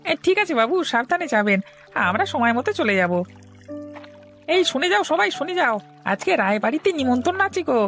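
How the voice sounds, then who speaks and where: Actress as poor fishing villager, high voice, humble, deferential, plaintive, cartoon story. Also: female voice, very animated, brisk, fairly bright tone, soft background music, exaggerated voice. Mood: eager. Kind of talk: Bengali cartoon dialogue.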